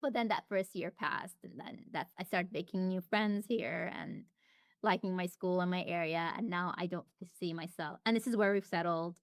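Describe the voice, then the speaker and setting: A woman's voice: on the low side, normal speed, no echo, clean studio sound. A woman as an interview guest, talking casually and reflectively with frequent restarts and fillers. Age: adult.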